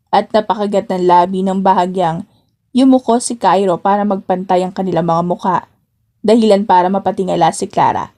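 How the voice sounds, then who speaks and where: A woman narrates a scripted romance story in audiobook style. Her voice sits at a medium pitch as she tells the tale in the third person. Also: darkish tone.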